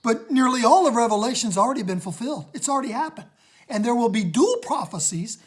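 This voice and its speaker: Raspy-voiced woman leading a casual home Bible study, emphatic and excited. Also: a little high, fast talker. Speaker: Bible teacher. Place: home, recorded on a phone.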